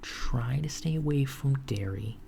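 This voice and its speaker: A man playing a calm, attentive male alien doctor in a softspoken ASMR roleplay, talking gently and hesitantly, with frequent ums and slips into a whisper. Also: medium pitch, creaky. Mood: gentle. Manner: soft-spoken, unhurried, slipping into whispers. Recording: lo-fi with steady background hiss.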